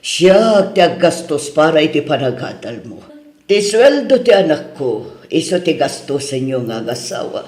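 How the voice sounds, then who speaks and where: A woman's voice: deep voice, sing-song, moderate pace, darkish tone. A woman playing a protective, reluctant older mother in an Ilocano radio drama, with an Ilocano accent. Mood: stern but supportive. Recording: roomy echo.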